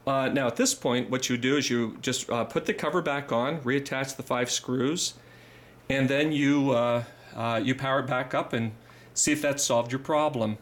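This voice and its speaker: A man giving an informal, methodical hands-on repair tutorial, speaking haltingly and repeating phrases as he goes. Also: mid-range voice, steady tone.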